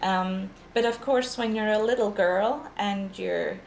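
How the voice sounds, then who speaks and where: A woman in her sixties from Scotland with an Edinburgh accent, speaking as a guest on a podcast interview, slow and reflective with frequent restarts. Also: medium pitch, vocal fry, reverberant, slight background noise. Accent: Scottish, Edinburgh. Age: 60s. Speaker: guest author.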